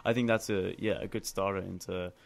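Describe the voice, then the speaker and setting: Male panelist, low voice with heavy fry, hesitant, thinking aloud informally. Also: steady tone, fairly quick, a crowd in the background. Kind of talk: casual discussion.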